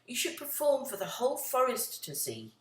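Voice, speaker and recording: A woman's voice: on the high side, wildly swooping pitch, normal speed, voice pushed up. A woman voicing a supportive young male porcupine, a loyal storybook best friend, earnest and encouraging. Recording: roomy, echoey, distant from the mic.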